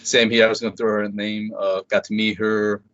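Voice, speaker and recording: A man's voice: on the low side, flat delivery, brisk, fairly bright tone, no echo. A man hosting a podcast, chatting casually and eagerly with a guest. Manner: chatty, enthusiastic fan. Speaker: podcast host. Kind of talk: casual interview chat. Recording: dull lo-fi sound, dry.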